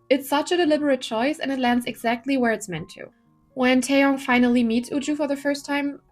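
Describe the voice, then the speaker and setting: A woman reads a scripted review of a television drama, earnest and conversational with a light touch of humor. Her voice sits a bit on the high side. Soft music plays faintly beneath her. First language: not Korean.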